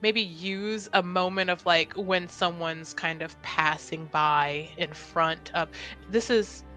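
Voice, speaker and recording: A woman playing a tabletop roleplaying game, chatting casually in a giggly, self-deprecating, animated way. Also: fairly low pitch, slow pace, faint music behind.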